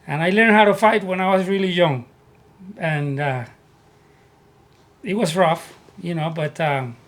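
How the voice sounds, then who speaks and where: A man from Puerto Rico with a Puerto Rican Spanish accent, telling his life story as an interview guest in earnest, halting English. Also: fairly high pitch, fairly bright tone. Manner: earnest, repeats words as he searches for English. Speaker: guest, army veteran.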